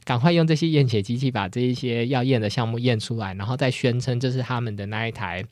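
A podcast host with a Taiwanese Mandarin accent, retelling stories in an animated, joking, casual way. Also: mid-range voice, fast talker, no echo, clean studio sound. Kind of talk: casual chat and storytelling.

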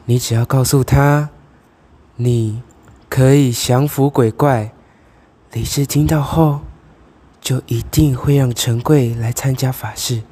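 Teenage boy as slow-talking, vengeful female ghost. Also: medium pitch, fairly even intonation, dark tone, husky. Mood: scheming. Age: teens. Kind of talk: amateur radio drama acting.

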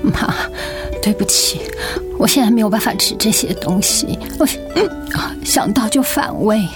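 An actress playing an unhappy, irritable grown daughter, weary and bitter, in a radio drama. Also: female voice, mid-range voice, expressive, normal speed, lightly acted. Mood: weak, weary. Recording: music behind the voice.

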